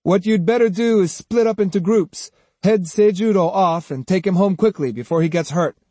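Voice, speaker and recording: A man's voice: high voice, normal speed, dark tone, no room sound, voice pushed up. A man voicing an arrogant, boastful young swordsman with a pompous, sneering and emphatic delivery in a storybook reading. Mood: grand, smug. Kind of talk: dramatised audiobook storytelling.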